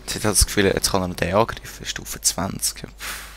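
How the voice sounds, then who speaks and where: A man's voice: low-pitched, fairly even intonation, brisk, creaky, fairly bright tone. A man with a Swiss German accent giving casual commentary while playing a strategy game, muttering to himself and talking to the units on screen. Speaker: let's play gamer.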